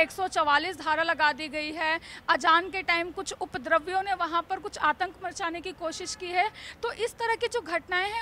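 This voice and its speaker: A woman working as a news reporter and interviewer in the field, sometimes halting and repeating words as she forms her questions. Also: very high-pitched, sharp tone, a little hiss or hum.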